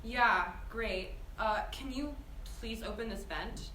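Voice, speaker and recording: Sarcastic, exasperated high school girl played by a woman, higher-pitched, distant in an echoey room. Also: takes it slowly, bright, forceful tone. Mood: urgent. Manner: sarcastic, informal. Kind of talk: screenplay table read. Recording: roomy, distant mic with background hiss.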